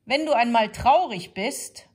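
A woman's voice: a little high, animated, measured pace, darkish tone, breathy edge, pitched above the performer's own voice. A woman voicing an old female wolf grandmother handing out advice in a storybook. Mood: advising. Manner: proverb-like, instructive.

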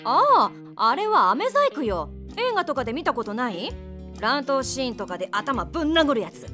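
An actress playing a brash, flashy snack-bar mama who talks bossily and sharp-tongued, in an audio drama with music behind. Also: female voice, high-pitched, very animated, moderate pace, exaggerated voice. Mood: offhand.

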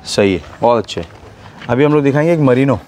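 A man hosting a casual vlog at an outdoor livestock farm, his voice at an everyday middle pitch. He talks easily and keeps asking follow-up questions. Faint outdoor noise sits under the voice. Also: lively intonation, brisk, darkish tone. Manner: chatty, asks many follow-up questions. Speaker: vlogger touring a livestock farm. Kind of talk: casual on-location vlog chat. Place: outdoor goat farm yard.

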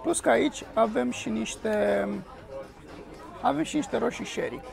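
A man's voice: very high voice, vocal fry. A young man, enthusiastically reviewing food to a camera on the table at an outdoor restaurant terrace. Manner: enthusiastic, exclaims while tasting. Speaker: food vlogger. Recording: crowd chatter on a terrace. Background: diners chattering.

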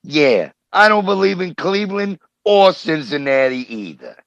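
A man's voice: fairly high pitch, big pitch swings, a little slow, a bit bright, dry recording, dull audio, heavily acted. A man playing a surly, stubborn suspect, defiant and slangy, in a comic radio crime drama. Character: surly, stubborn male wise-guy suspect.

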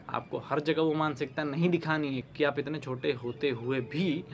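A young man talks to camera as a commentary host, his voice medium in pitch with plenty of gravelly fry. His delivery is emphatic and halting. He is recorded outdoors, with faint ambience and birds in the background. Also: a little hiss or hum. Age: young adult. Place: outdoors, overlooking a city.

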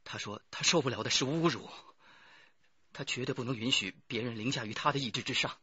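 An actor playing a defiant, cornered murder plotter under interrogation in a radio drama, speaking deliberately. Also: male voice, mid-range voice, sharp tone, breathy edge, dry recording, dull audio. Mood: confessing.

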